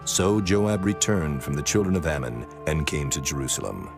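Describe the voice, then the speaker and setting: A man narrating scripture from a script in a solemn, measured manner. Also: very deep voice, animated, vocal fry. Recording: music bed under the voice.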